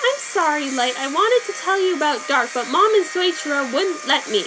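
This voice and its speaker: A woman's voice: sits high, lively intonation, normal speed, music in the background, pitched above the performer's own voice, rough recording. A woman doing a sweet little sister. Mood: apologetic.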